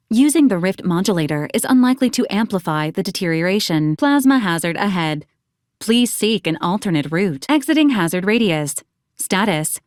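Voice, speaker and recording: A woman voicing a polite, literal-minded female robot assistant in a video game, speaking in a formal, clipped, deadpan and precise way. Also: mid-range voice, dry recording, studio quality, natural voice. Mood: flat alert. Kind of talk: scripted video game voice lines.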